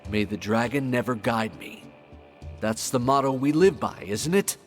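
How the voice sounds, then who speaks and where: A man's voice: mid-range voice, expressive, normal speed, soft background music, natural voice. An actor playing a loyal, earnest male hunter in a fantasy game, speaking firmly and insistently. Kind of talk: dramatic fantasy game acting.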